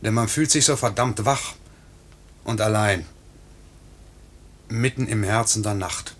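An actor playing a swaggering but tender young newlywed pilot in a 1950s German radio play, with a teasing, dreamy way of talking. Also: male voice, on the low side, measured pace, a bit bright. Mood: dreamy, marveling.

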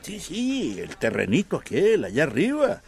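An actor playing a hopeful, excitable middle-aged father talking on the phone in a radio drama. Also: on the high side, moderate pace, vocal fry, slight background noise, full character voice. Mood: excited, evasive.

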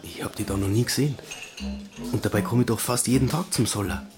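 Very deep-voiced, forward tavern regular. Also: female voice, fast talker. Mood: forward, inviting. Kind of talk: German radio play dialogue. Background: music and clinking dishes.

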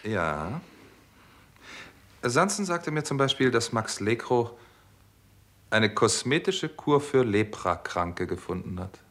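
A man's voice: medium pitch, very animated, takes it slowly, long pauses, a bit bright, exaggerated voice. A man acting as a doctor hero who tells a crime story in a dry, controlled way, in a 1960s German radio play. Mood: guarded, dry. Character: male doctor and hero narrating a 1950s Hollywood crime story.

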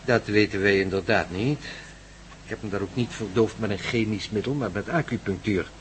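A man acting in a Dutch radio play as a learned, excitable professor who explains things in measured phrases. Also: on the low side, close to an everyday voice. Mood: pensive, lecturing. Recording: faintly noisy radio drama recording.

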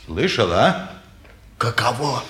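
An actor playing a hard-up, complaining middle-aged watchmaker in a Russian stage drama, with a plaintive manner. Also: male voice, medium pitch, very animated, takes it slowly, fairly bright tone, echoey room. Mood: wry.

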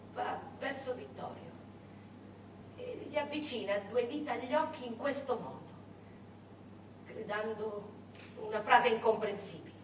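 An actress playing a woman witness who haltingly recalls her wartime childhood in theatrical testimony. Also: fairly high pitch, sharp tone. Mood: hushed unease. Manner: halting, repeating, remembering aloud. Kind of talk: theatrical first-person testimony. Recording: echoey stage, distant mic, faint noise.

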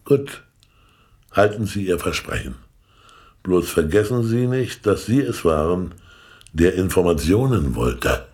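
An actor playing a shrewd, all-knowing informant in a radio play, lecturing in a pedantic, sardonic way. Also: male voice, low-pitched, animated, a little slow, long pauses, a little mellow. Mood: reproving. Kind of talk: German radio play acting.

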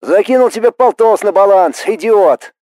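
A man voicing an anxious, exasperated younger brother, a sharp-tongued medical student who scolds in an audiobook story. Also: high-pitched, lively intonation, moderate pace, dry recording, pitched above the performer's own voice. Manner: agitated, scolding.

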